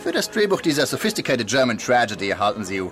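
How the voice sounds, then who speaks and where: An actor playing a smug, aloof, watchful foreign power broker in a theatrical radio drama. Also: male voice, mid-range voice, big pitch swings, fast, a bit bright, a faint crowd, a little hiss or hum. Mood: appraising.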